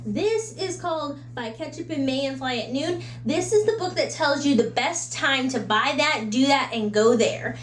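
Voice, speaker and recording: High-voiced woman chatting in an echoey room. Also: animated, normal speed, hardly any pauses. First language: English. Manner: conversational, acts out little imagined quotes and asides. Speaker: book reviewer giving recommendations. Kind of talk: casual, chatty video book recommendations. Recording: roomy echo with faint background hum.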